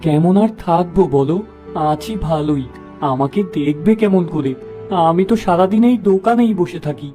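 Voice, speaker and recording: A man's voice: a little high, normal speed, music in the background, close to an everyday voice. A man voicing a gullible, starry-eyed young village shop lad in a cartoon, eager and earnest. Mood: modest. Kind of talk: Bengali cartoon fable voice acting.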